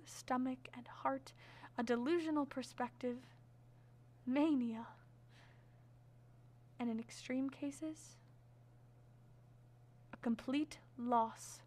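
A woman plays a grave radio drama narrator with a fairly high voice. The delivery is very slow and measured, with an ominous, deliberate weight on each phrase. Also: fairly even intonation. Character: grave narrator warning of a cursed archive. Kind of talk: scripted radio drama introduction.

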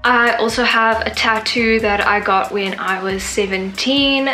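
Bright, chatty young woman vlogging. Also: fairly high pitch, fairly even intonation, unhurried, faint music behind. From Zimbabwe. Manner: chatty, upbeat, many "like" and "yeah" fillers. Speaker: YouTuber. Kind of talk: casual facts vlog to camera. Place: bedroom with a sofa, indoors. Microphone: camera in front at desk distance.

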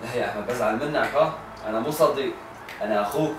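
A man playing a calm, generous shopkeeper who speaks softly and reassuringly, recorded from a distance in a roomy space with music behind. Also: medium pitch, racing, noisy background, natural voice. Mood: gentle. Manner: gentle, warm, reassuring. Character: generous, calm shopkeeper friend, soft-spoken.

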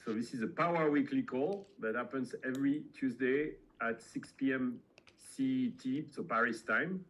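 A middle-aged man hosting a weekly video call, speaking casually with many halting fillers. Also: mid-range voice, fairly bright tone, rough recording. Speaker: host.

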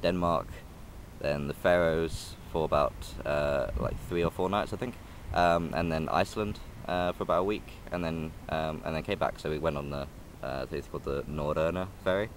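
Very deep-voiced young man rambling outdoors. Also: moderate pace, slight background noise. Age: young adult. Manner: hesitant, many ums and restarts. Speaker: vlogger. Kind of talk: casual rambling vlog. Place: outdoors in a park by a railway line. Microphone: phone or camera held close.

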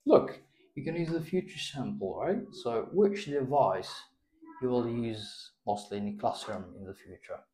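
A man's voice: medium pitch, animated, normal speed, warm tone. A young man giving a spoken English test, patiently prompting and correcting a student's answers in a small classroom. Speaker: English teacher.

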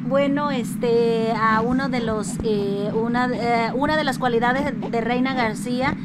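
A woman's voice: on the high side, fairly even intonation, a little slow, very noisy. A woman hosting a conversational radio interview, speaking effusively and often repeating her words. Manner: effusive, hesitant phrasing with frequent repetition. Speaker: radio show host.

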